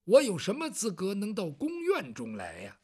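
Brief: a storyteller playing a quiet, polite young official, low and soft-spoken. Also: wildly swooping pitch, moderate pace, slightly rough. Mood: deferential. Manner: quiet, terse, deferential. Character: dutiful, reserved young official. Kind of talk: traditional Chinese storytelling.

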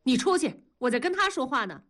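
A woman voicing an aggrieved, suspicious wife and mother who nags and is quick to accuse, in an audio drama. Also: a little high, big pitch swings. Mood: angry, scolding.

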